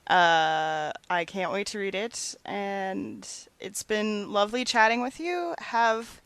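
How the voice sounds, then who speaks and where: A woman hosts a podcast interview in a conversational style. Her voice is smooth and crisp at an average pitch. The sound is dry, with very little room in it. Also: takes it slowly, fairly bright tone, dry recording.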